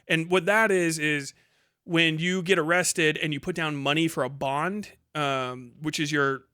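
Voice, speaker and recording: A man's voice: a little high, fairly even intonation, measured pace, sharp tone, clean tone, no echo, clean studio sound. A man giving casual, rambling explanatory commentary as a streamer, informal and swearing freely. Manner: informal, heavily profane.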